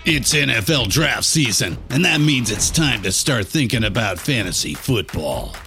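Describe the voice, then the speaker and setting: A man's voice: medium pitch, animated, normal speed, gravelly, music in the background. A man delivering a scripted advertisement in a polished, promotional way. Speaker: ad reader. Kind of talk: scripted ad read.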